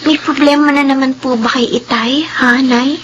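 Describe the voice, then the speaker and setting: A young woman voices an anxious daughter begging her mother to stay, in a radio drama. Her voice is high and pleading, with a clear hiss in the background. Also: fairly even intonation, moderate pace, natural voice. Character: anxious grown daughter, clingy.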